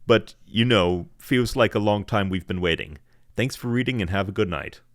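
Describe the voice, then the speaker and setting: A man's voice: lowish voice, moderate pace, dry recording, studio quality. A man hosting a podcast in casual chat, upbeat and encouraging toward listener submissions. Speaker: podcast host.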